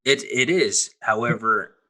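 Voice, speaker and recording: A man's voice: medium pitch, measured pace, bright, forceful tone. A man hosting a podcast interview, stammering and repeating words as he starts his sentences. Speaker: podcast host.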